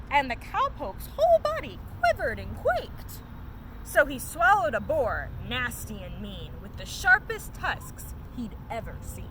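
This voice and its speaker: A woman hosts story time, reading a rhyming picture book in a sing-song way. Her voice is high and swoops up and down with plenty of expression. The recording has distinct background noise. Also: a bit bright, poor audio. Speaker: story time host and reader. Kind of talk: animated read-aloud of a children's picture book.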